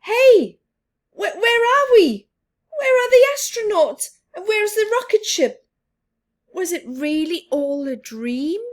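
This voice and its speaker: A woman voicing an excited young child astronaut in a storybook, high and squealing. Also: slow pace, full character voice. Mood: amazed.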